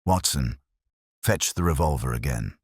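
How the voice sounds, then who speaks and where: A man voicing a coolly precise, commanding detective with a crisp, dry, clipped delivery in a story reading. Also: rumbling low, expressive, measured pace, dry recording, exaggerated voice. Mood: commanding, analytical.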